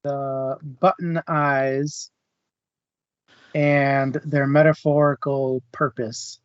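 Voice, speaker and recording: A man's voice: mid-range voice, level tone, extremely slow, clear, resonant tone, dry recording, muffled recording. A man hosting a casual book discussion, talking informally as he works through his thoughts. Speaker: discussion host.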